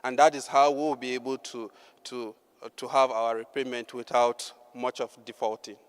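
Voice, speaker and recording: Man fielding judges' questions, halting and measured, clear tone, echoing hall. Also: medium pitch, level tone. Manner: measured, with many fillers. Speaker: startup presenter answering judges. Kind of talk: Q&A answers. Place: large hall. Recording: long hall reverb.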